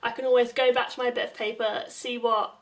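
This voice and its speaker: A young woman giving informal advice to viewers in a measured, explanatory way. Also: a little high, little pitch movement. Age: young adult. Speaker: advice video presenter. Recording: distant microphone.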